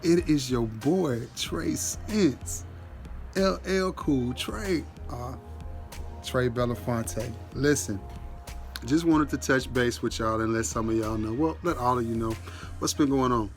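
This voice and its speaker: A man's voice: medium pitch, takes it slowly, soft background music. A man, congested and stuffy-nosed from a sinus infection, casually updating his viewers with lots of ums. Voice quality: stuffy nasal voice. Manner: relaxed, rambling, with many ums and restarts. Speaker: fragrance reviewer, channel host.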